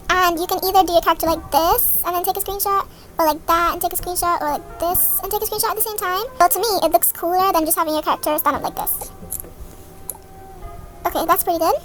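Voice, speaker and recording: A young woman with a very high, girlish pitch talks through a tutorial in a casual way. Music plays behind her voice-over. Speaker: video creator and host. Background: background music.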